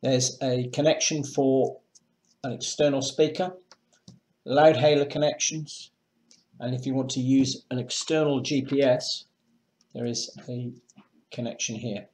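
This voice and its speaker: A man presenting marine electronics in a measured, matter-of-fact way, as in a semi-scripted product demonstration. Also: medium pitch, steady tone, darkish tone. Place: indoors, small room with block walls at a table.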